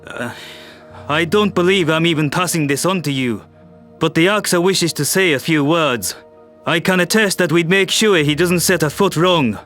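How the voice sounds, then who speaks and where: A man's voice: fairly high pitch, moderate pace, a bit bright, soft background music, natural voice. A man playing a harried human world leader, tense and exasperated.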